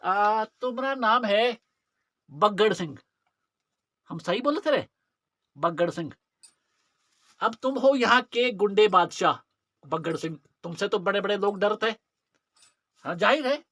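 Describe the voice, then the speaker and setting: A man acts out a theatrical, menacing police inspector with a rustic eastern Hindi-belt accent. The tone is sharp and forceful in a dry space. He mocks and drawls, posing rhetorical questions as in a dramatic monologue. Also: sits high, animated, measured pace, long pauses, dry recording, exaggerated voice. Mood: mocking, menacing.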